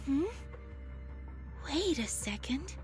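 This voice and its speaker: High young female voice, slow, earnest, hesitant, thoughtful, game heroine, loud music behind. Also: lively intonation, exaggerated voice. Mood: pensive. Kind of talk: voiced game story dialogue.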